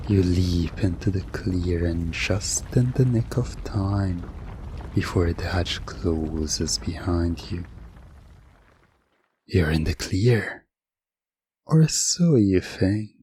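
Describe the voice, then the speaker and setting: A man narrating a scripted hypnosis ASMR story in a hushed, suggestive way with dramatic pauses. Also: deep voice, lively intonation, slight background noise.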